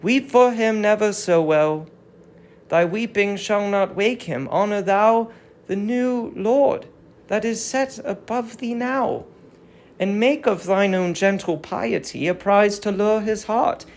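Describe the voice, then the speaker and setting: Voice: mid-range voice, takes it slowly. A performer playing an aged fallen queen, sorrowful and resigned, in a stately declamatory reading of Greek tragedy. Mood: grave, counselling.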